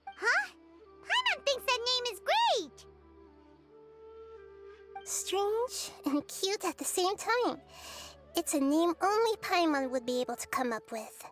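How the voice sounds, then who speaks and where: A woman voicing a small, cheerful, excitable fairy companion in a video game, with a squeaky childlike voice and eager exclamations. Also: slow pace, long pauses, exaggerated voice. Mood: excited. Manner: speaks of self in third person, exclaims eagerly. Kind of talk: video game character acting. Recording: clean voice under loud music. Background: loud game music.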